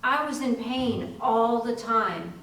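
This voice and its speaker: A woman playing a suffering young factory worker recounting her illness in a measured readers theater monologue. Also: a little high, creaky, a bit bright, full character voice. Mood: somber, pained. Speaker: community theatre actor. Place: room at a live library reading. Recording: echoey room, distant mic, faint noise.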